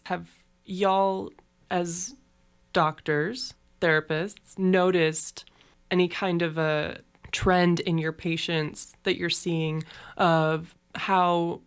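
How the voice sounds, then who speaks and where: A woman's voice: lowish voice, smooth. A woman co-hosting a podcast, talking frankly and casually, often saying "like". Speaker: podcast co-host.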